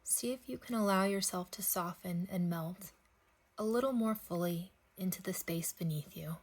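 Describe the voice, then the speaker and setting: A woman leading a guided sleep meditation, speaking gently in unhurried phrases with long pauses. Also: on the low side, slightly rough.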